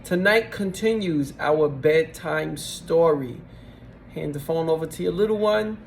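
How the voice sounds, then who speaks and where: A man's voice: fairly high pitch, measured pace, gravelly, a little hiss or hum. A man, a school vice principal, giving a greeting to families before a bedtime story.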